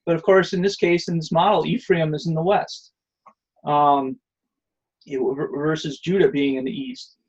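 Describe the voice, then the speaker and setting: A man's voice: fairly high pitch, fairly even intonation, moderate pace, highs cut off. A man giving an online presentation and answering questions, rambling with many fillers and restarts. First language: not Hebrew.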